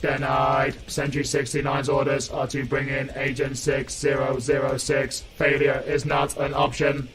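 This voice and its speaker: A man's voice: medium pitch, completely flat delivery, measured pace, hardly any pauses, a bit bright, faint music behind, close to an everyday voice. A man voicing a cold, dutiful combat robot sentry in an audio drama, making clipped status announcements. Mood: cold, mechanical.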